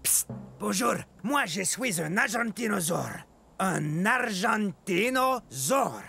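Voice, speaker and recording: An actor voicing a huge, gentle, hapless dinosaur who confides sheepishly in viewers of a children's educational cartoon. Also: mid-range voice, lively intonation, takes it slowly, creaky, music in the background. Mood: sheepish, secretive. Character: huge, gentle, hapless long-necked Argentinosaurus, apologetic and hungry.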